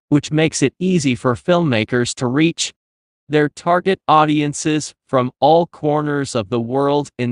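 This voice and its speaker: A man reading exam practice passages aloud in a measured, formal way. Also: medium pitch, dry recording, clean studio sound. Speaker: test-prep model reader.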